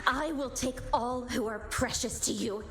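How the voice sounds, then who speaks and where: A woman's voice: on the high side, measured pace, lightly acted. A woman voicing a bitter, grieving young woman in a video game, cold and full of self-loathing. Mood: hateful resolve. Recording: game music behind the voice.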